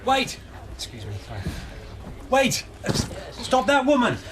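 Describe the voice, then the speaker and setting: Flustered junior cop in a radio play, eager, voice all over, noisy behind. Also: male voice, extremely high pitch, wildly swooping pitch, measured pace, heavily acted. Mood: urgent, flustered. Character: deferential male junior police detective, eager and flustered. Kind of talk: radio drama acting.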